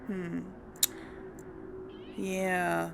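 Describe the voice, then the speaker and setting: A woman talks through her gameplay in an easygoing, unhurried way, often pausing to think out loud with ums. Her voice has a gravelly vocal fry. A faint hiss sits behind her. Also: fairly low pitch, completely flat delivery. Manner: chatty and unsure, thinking aloud with many ums. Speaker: let's play host. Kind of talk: casual gameplay commentary.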